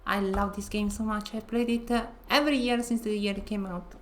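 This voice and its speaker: A woman's voice: mid-range voice, fairly even intonation, fairly quick, a little hiss or hum. A woman streamer, not a native English speaker, chatting casually while playing and greeting viewers. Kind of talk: casual gameplay commentary.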